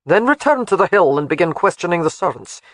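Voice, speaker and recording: A woman's voice: on the low side, very animated, quick pace, no echo. A woman voicing a commanding, protective laird in an audiobook who gives firm, clipped orders.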